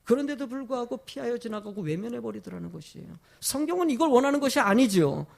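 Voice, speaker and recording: A man's voice: extremely high pitch, animated, creaky. A man preaching a sermon as a pastor, emphatic, putting rhetorical questions to his congregation, his throat sore from a cold. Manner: emphatic, with drawn-out stressed phrases.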